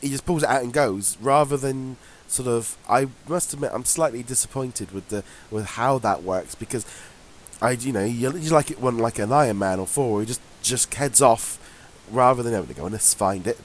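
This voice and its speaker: A congested man with a head cold talks casually as co-host, chatty and laughing easily, with plenty of ums between thoughts. His voice sits at a middle pitch, with a faint hiss running under the recording. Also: expressive, brisk. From England. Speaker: podcast co-host. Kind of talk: casual chat.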